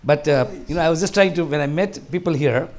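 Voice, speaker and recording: A man's voice: on the high side, fast. Older man giving a rambling talk. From Tanzania. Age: 60s. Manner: conversational, rambling, with frequent restarts. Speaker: guest speaker. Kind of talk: informal talk to a seniors group. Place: large community hall.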